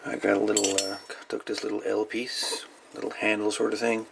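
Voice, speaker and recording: A man with a very gravelly, fry-heavy voice talks casually as a hobbyist showing things off. His delivery is folksy and halting, wandering as he goes. It is a home recording with faint noise behind him. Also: lowish voice, flat delivery. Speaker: radio hobbyist presenting salvaged parts. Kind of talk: casual hands-on show-and-tell.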